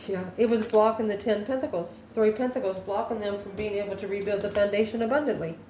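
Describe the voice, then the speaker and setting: A woman's voice: mid-range voice, steady tone, fast, mellow. A woman doing an improvised tarot card reading, musing aloud and answering her own questions. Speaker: tarot reader, channel host. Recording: echoey room, faint background noise.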